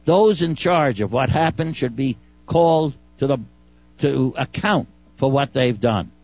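A man's voice: medium pitch, expressive, measured pace, darkish tone, no echo, lo-fi sound, soft background music. A man with a Brooklyn accent giving folksy, wry legal commentary on a talk radio show, often stumbling and repeating words.

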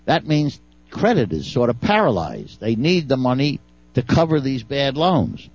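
A man's voice: medium pitch, very animated, measured pace, a little mellow, slightly rough, no echo, faint music behind. Man, Brooklyn accent, talk radio commentator, wry, stumbling repeats, dull lo-fi sound. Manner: folksy, wry, self-mocking, stumbles and repeats words.

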